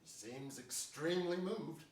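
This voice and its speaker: A man's voice: a little high, unhurried, natural voice. An older man playing a bold, forthright royal officer, declaiming emphatic Shakespearean verse in a staged reading. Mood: curious, guarded. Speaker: stage actor. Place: large indoor theater stage before an audience. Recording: echoey theater, distant microphone.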